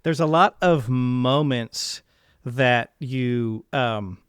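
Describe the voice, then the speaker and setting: A man's voice: medium pitch, very slow, clean tone, no echo. Male podcast interviewer, dry close sound. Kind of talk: conversational interview.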